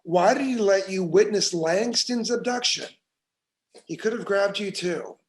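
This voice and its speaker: A man performs a hard-nosed, scornful detective in a crime drama interrogation. His voice sits high for a man and he speaks slowly, leaning into each accusation with sarcasm. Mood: pressing, accusing. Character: hard, scornful, bullying male police detective. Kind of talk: dramatic screenplay table read.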